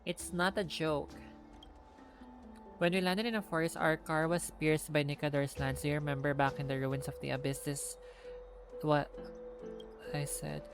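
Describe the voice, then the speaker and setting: A woman's voice: deep voice, little pitch movement, normal speed, pauses often, soft background music. A woman with a Filipino accent, live streaming a game and reading its dialogue aloud.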